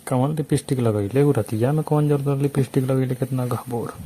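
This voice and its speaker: A man with a mellow, relaxed voice talks in a Bhojpuri accent. It is a casual, private chat, and his manner is coaxing and teasing. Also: medium pitch, fairly quick, slight background noise.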